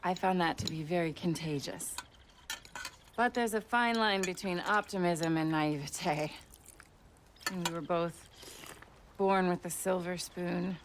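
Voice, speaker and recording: An actress playing an educated, earnest, self-deprecating genteel young widow in a video game, speaking in a refined, articulate way. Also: female voice, lowish voice, a little slow, pauses often, background noise. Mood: reflective, rueful. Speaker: voice actor. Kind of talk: cinematic video game acting.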